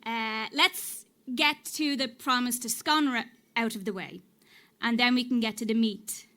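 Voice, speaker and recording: An actress with an Irish accent playing a nervous, game audience member, haltingly reading a script aloud on stage. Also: female voice, fairly high pitch, bright, forceful tone. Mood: nervous, wry. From Ireland. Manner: hesitant, halting. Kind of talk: live storytelling performance, reading from a script. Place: on stage at a live cellar event. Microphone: stage microphone.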